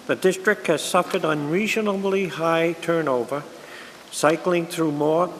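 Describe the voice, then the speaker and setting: A man on a school board reading a formal letter aloud at a meeting, steady and formal. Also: on the high side, a little slow. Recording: reverberant hall with faint hiss.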